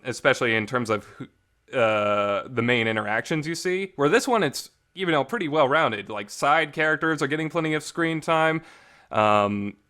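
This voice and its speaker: A man's voice: mid-range voice, bright, forceful tone. Man casually rambling through an enthusiastic review. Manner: enthusiastic, emphatic, hesitant restarts. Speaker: host reviewing visual novels. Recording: reverberant homemade voice recording.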